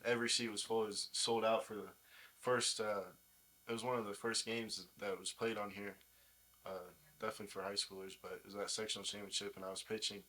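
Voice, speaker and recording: A man's voice: lowish voice, level tone, brisk, sharp tone, low-quality recording. A young man from the United States, a baseball player answering questions in a casual interview. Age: young adult.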